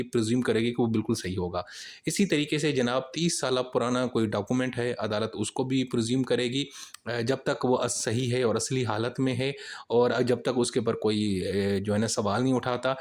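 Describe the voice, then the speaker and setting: A man with a Pakistani accent speaks in a mid-range voice. He lectures informally, like a teacher at work, restating each point in plainer words and dropping in conversational tag phrases. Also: medium pitch. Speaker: law lecturer.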